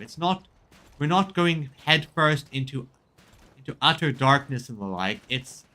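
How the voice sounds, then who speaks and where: A man streaming a game, chatting casually in a halting way, often repeating and rephrasing himself mid-sentence. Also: fairly high pitch, sharp tone. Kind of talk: casual chat commentary on a game. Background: music in the background.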